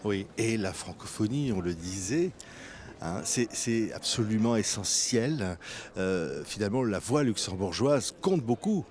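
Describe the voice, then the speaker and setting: Low-voiced courteous male interviewer. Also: lively intonation, unhurried, darkish tone. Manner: courteous, affirming, leading questions. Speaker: television interviewer. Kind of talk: formal televised interview.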